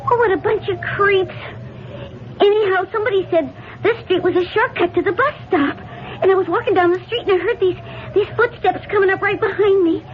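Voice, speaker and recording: A woman's voice: very high voice, fairly quick. A woman playing a nervous, chatty working-class young waitress in a 1970s radio drama, breathless and stammering in colloquial speech. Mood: jittery, relieved. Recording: muffled, hissy old radio recording.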